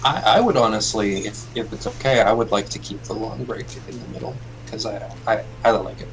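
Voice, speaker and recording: A man's voice: lowish voice, a bit bright, poor audio. A man with a slightly nasal, congested voice, game master of the group, chatting casually and stumbling over repeated words. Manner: halting, repeats words as he talks. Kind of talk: casual pre-game chat.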